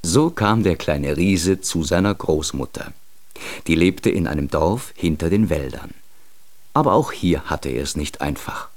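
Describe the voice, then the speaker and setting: A man narrating a children's radio play as its storyteller, in an expressive, measured, scripted manner. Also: low-pitched. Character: storyteller of a children's giant tale.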